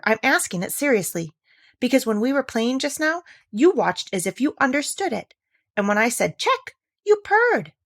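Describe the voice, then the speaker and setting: A woman voicing a chatty, imaginative little girl in a storybook, animated and mock-stern as she talks to her kitten. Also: mid-range voice, vocal fry, no echo, studio quality. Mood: playful, coaxing. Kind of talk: storybook character voice.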